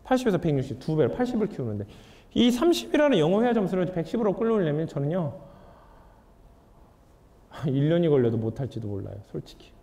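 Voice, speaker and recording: A man's voice: on the high side, big pitch swings, fast, long pauses, mellow. A young adult man giving a lecture, asking the audience questions in a candid, conversational way. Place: lecture hall. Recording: hall reverb.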